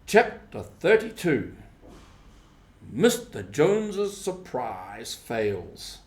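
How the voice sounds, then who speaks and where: A man narrates a storybook at a slow, measured pace. His mid-pitched voice places each short phrase deliberately, as an audiobook reader does. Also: huge pitch swings. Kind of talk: scripted storybook narration, simplified classic novel.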